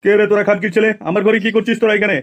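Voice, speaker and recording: Bad-tempered village father for comedy cartoon male actor: high-set voice, very fast, crude and threatening. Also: level tone, muffled recording. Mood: scolding.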